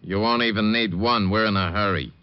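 An actor playing a menacing, slangy protection-racket thug in a 1950s radio crime drama. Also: male voice, fairly low pitch, fairly quick, clear, resonant tone, no echo, lightly acted. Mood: brusque, threatening. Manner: tough, slangy gangster talk. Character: menacing male protection-racket thug, slow-witted, mockingly casual. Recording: dull, lo-fi vintage radio recording.

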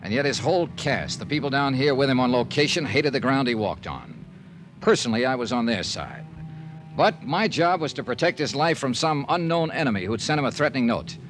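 A hard-boiled insurance investigator from 1950s radio. His delivery is clipped and sardonic, swinging in pitch over an old broadcast recording with music. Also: fairly bright tone. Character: wry, tough, blunt male freelance insurance investigator. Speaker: radio drama lead actor. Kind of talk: 1950s radio drama acting, first-person narration.

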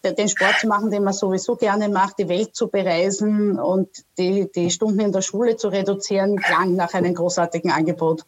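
A woman in her thirties from Australia speaks as an interview guest in a mid-pitched voice. She talks hesitantly, restarting sentences and filling pauses. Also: flat delivery, slight background noise. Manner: hesitant, many fillers and restarts. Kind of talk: casual interview talk.

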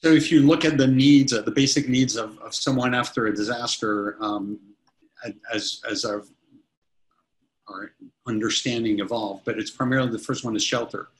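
A man's voice: medium pitch, fairly even intonation, moderate pace, low-quality recording. A man in his fifties, a company chief executive, answering interview questions casually, rambling with many restarts and fillers. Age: 50s. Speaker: company CEO guest.

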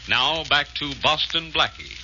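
A man's voice: on the high side, animated, unhurried, very noisy. A man with an American accent narrating a 1940s radio drama as its announcer, reading from a script. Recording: dull old radio recording with loud music.

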